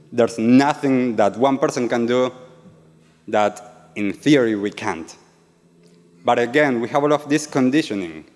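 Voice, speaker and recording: A man's voice: mid-range voice, a little mellow. A man from Argentina with an Argentine Spanish accent, an earnest yoga and meditation teacher giving a live talk and asking the audience questions. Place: event venue with an audience. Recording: reverberant event hall.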